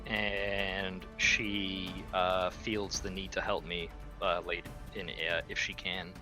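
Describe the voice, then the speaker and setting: A man's voice: deep voice, level tone, slow, pushed and bright. A man playing a tabletop roleplaying game, chatting informally and jokingly, in and out of character. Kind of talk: casual in-character game chat. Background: background music.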